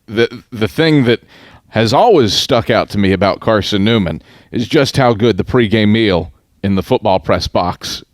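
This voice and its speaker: A man with a somewhat low voice hosts a casual interview. He speaks in measured, halting phrases, as if working out the thought while he talks. The recording is dry, with almost no room sound. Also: no room sound. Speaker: podcast host and interviewer.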